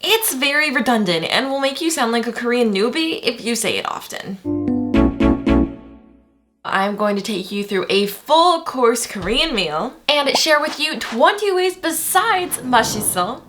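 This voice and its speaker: Lively, high-voiced woman teaching. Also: moderate pace, faint music behind. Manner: chatty, playful, addresses viewers as "you guys". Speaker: language teacher. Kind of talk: upbeat video lesson.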